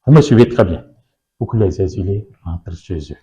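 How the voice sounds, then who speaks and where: A man's voice: low-pitched, animated, fairly quick, warm tone. A man whose mother tongue is Yoruba, a political guest in an interview, speaking emphatically with repeated phrases and rhetorical questions.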